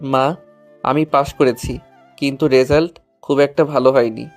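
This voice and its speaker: A man's voice: medium pitch, fairly even intonation, moderate pace, soft background music, natural voice. A man playing an earnest, polite, apologetic teenage schoolboy who is eager to please, in an audio story. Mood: contrite, earnest. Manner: polite, contrite. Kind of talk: Bengali audio story acting.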